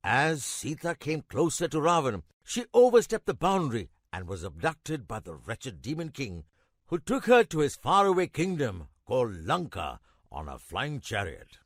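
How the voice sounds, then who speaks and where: A man playing a kindly old grandfather in a children's cartoon, narrating a legend in a measured, expressive way with emphatic pauses. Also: medium pitch, no room sound, studio quality. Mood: dramatic storytelling.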